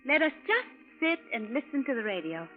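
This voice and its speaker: An actress playing a polite, gushing young wife from the future, prim and formal in 1940s radio style. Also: female voice, high voice, big pitch swings, a little fast. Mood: prim, pleasant. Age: 20s. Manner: prim, formal, precise, few contractions. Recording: narrow, muffled vintage radio recording.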